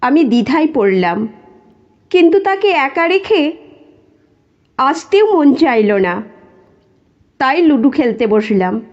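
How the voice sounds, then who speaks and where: A woman narrating a scripted first-person story in a measured, dramatic storytelling manner, with dull lo-fi sound. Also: high voice, very animated.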